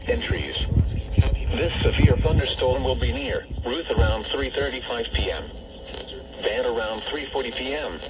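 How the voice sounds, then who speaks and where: A man reads an emergency weather bulletin in a formal, automated-sounding voice at a middle pitch. The sound is dull and distant, as if captured off a weather radio, with clear noise throughout. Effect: weather radio broadcast. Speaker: weather radio warning announcer.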